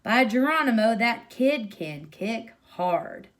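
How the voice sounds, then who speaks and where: A woman's voice: on the high side, sing-song, slow pace, a bit bright, full character voice. A woman voicing a battered male small-time kidnapper in a storybook, with a wry, folksy way of talking. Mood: wry, rueful. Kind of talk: storybook character reading.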